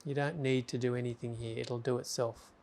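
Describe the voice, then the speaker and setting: A man guiding a meditation in a calm, gentle way. His voice is steady and even, with little rise and fall. He speaks unhurried instructions and leaves long silences between them. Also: mid-range voice, vocal fry, a little hiss or hum. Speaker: meditation guide. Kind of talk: guided meditation.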